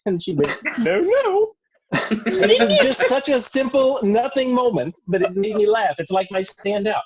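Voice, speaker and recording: Animated, playful cast member. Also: mid-range voice, moderate pace, a crowd in the background, rough recording. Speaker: cast member answering questions. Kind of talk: casual chat, anecdotes. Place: virtual group video call.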